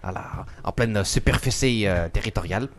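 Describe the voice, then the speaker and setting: A man's voice: fairly low pitch, normal speed, lightly acted. A man voicing a bumbling male villager from a tiny hamlet, speaking with a mock Belgian-style French accent, hesitant and full of fillers. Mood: earnest. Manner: hesitant, full of euh and n'est-ce pas. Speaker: guest character.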